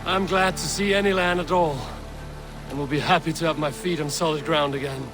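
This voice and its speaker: Casting: male actor as steady, curious Viking, plain-spoken, slightly raised pitch; game scene over clear music. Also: fairly quick, lightly acted. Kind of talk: video game cutscene acting. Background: game music, water.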